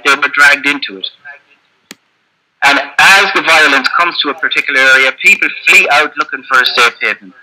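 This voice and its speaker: A man making an earnest, emphatic case as a panelist in an online debate, slowing down to stress his points over a video call. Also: mid-range voice, bright, forceful tone, low-quality recording.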